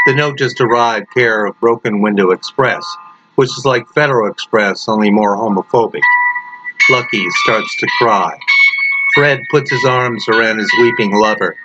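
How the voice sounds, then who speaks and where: A man reading his own story aloud as a dramatic narration, with dark humour and wry, deliberate phrasing. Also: fairly low pitch, dull audio. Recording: loud music under the voice. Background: prominent background music.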